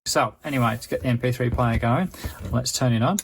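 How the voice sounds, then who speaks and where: A man with a creaky, gravelly voice gives a casual, explanatory product review. His pitch sits in an ordinary middle range, and faint background noise runs under his chatty demonstration. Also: fairly quick.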